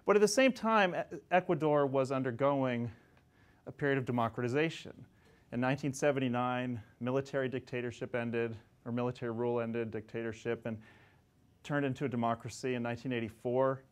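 A man's voice: medium pitch, brisk, clean tone. A man in his forties giving a conversational classroom lecture with asides to students, speaking on a lapel mic in a classroom. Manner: animated, gesturing, conversational with asides. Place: classroom in front of whiteboard.

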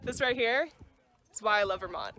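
A woman's voice: mid-range voice, normal speed, bright, forceful tone. A young woman chatting casually and enthusiastically to her phone camera as a student vlogger. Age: young adult. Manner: upbeat, enthusiastic. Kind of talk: casual vlog talk to camera. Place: small kitchen, gas station, car and outdoor trail. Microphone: phone at arm's length. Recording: faint background noise.

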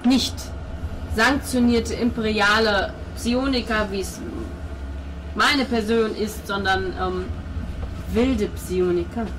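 A woman's voice: medium pitch, slow, lightly acted. A woman playing a courteous, absent-minded imperial psyker in a tabletop game, speaking formally and hesitantly. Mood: hesitant, grave. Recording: noisy home table recording.

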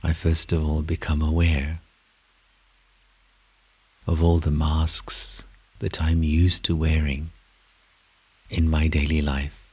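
Very deep, breathy man guiding meditation. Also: flat delivery, darkish tone. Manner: measured, in short phrases with long pauses. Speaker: meditation guide. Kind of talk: guided meditation narration.

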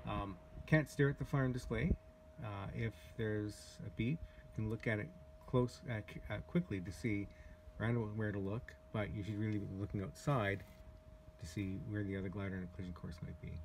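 A man giving a casual, halting walkthrough as a glider pilot, pausing often as he explains, recorded outdoors on a handheld camera. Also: on the low side, steady tone. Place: outdoors in an open glider cockpit in a driveway. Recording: handheld camera recording with clear background noise.

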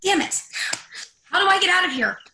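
A stressed woman professor, played by an actress, speaks in a fairly high, bright voice with a lot of creak. She is demanding and impatient, with emphatic delivery in a play reading. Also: a little fast, slight background noise, rough recording. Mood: angry. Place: indoors at home, on a video call.

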